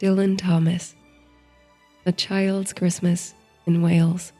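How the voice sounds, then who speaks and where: A woman narrating a sleep story like a guided meditation, in a gentle, soothing, unhurried manner. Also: fairly low pitch, flat delivery, soft background music.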